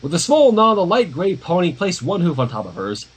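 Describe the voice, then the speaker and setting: A man reading a story aloud on a livestream with expressive, dramatic narration and casual asides, in a husky voice. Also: high-pitched, fairly quick. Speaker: fan fiction reader.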